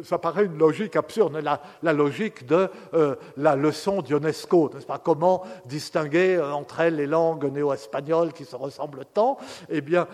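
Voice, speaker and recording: Male academic lecturer, slightly high voice, measured delivery with hesitations, long hall reverb. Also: a little mellow.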